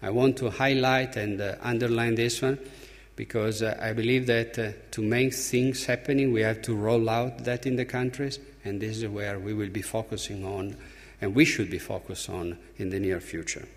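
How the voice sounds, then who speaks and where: A man from Italy with an Italian accent gives a conference speech as an opening speaker, emphatically, with halting, deliberate pauses. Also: lowish voice, steady tone. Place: large conference hall. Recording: reverberant hall, long echo.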